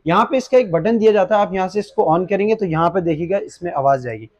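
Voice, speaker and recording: A man's voice: sits high, lively intonation, fast, highs cut off. A young man with a Pakistani accent presenting a product unboxing in an explanatory way, mixing in English words. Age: young adult. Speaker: presenter for an online gadget shop. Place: small indoor gadget shop studio at a desk.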